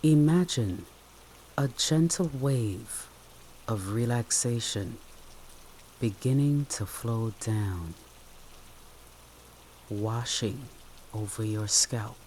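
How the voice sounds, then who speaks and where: A soothing meditation guide with a mid-pitched voice speaks extremely slowly. Short, gentle instructions are separated by long pauses. Soft rain falls steadily behind the voice. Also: a little hiss or hum.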